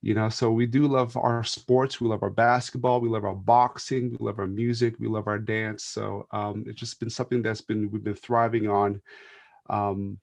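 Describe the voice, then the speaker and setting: Low gravelly-voiced man, easygoing. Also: level tone, moderate pace. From United States, Filipino American. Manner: easygoing, chuckles often, lots of fillers. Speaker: church worship team member, panel guest. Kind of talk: casual panel sharing on video call.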